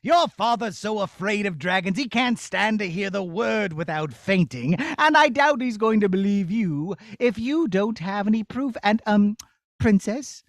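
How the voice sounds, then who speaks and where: A man's voice: high-pitched, animated, a little slow, no echo. A man voicing a gloating evil wizard in a children's puppet show, gleeful, sneering and mock-polite. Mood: smug. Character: gloating evil wizard, a scheming gold thief.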